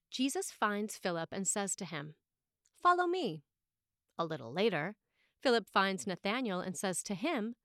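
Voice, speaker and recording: Female priest, medium pitch, scripted sermon read for podcast. Measured, expressive, clearly phrased; dry, close recording. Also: no room sound.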